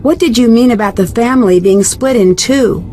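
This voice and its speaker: A woman acting as a young American female sleuth in an adventure game speaks in a fairly high voice over faint background noise. She is curious and courteous, and asks things plainly. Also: normal speed, mellow, natural voice. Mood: curious, probing. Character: inquisitive young female amateur detective, polite and direct. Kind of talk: video game character dialogue.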